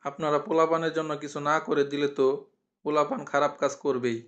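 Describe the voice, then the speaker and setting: A man's voice: fairly high pitch, steady tone, fast talker, fairly bright tone, dull audio, close to an everyday voice. A man playing a blunt, outspoken village uncle in a cartoon. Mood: accusing. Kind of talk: cartoon dialogue.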